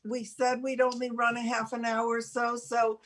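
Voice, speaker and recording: An older woman co-hosting a casual, unscripted show, speaking haltingly with pauses mid-sentence. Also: on the high side, monotone, fairly bright tone. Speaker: show co-host.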